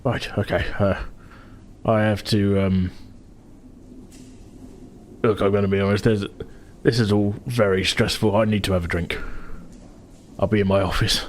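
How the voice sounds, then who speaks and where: A man's voice: on the low side, steady tone, measured pace, pauses often, a little hiss or hum, lightly acted. A man playing an anxious, flustered opera house manager in a tabletop game, hesitant and stammering as he repeats himself. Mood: stressed.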